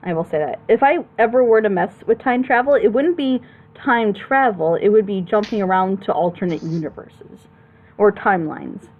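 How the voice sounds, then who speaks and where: A woman with a mid-pitched voice talks through her critique in a casual way. She is emphatic and opinionated, laying out her reasoning at length. The sound is narrow and thin, like a phone line. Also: normal speed. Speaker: panelist on a reaction show. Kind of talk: casual critical commentary on storytelling.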